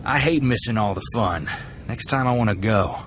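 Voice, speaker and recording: An actor playing a cocky, eager young gunslinger sidekick in a video game, folksy and plain-spoken. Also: male voice, lowish voice, moderate pace, slight background noise, lightly acted. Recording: clear music behind the voice.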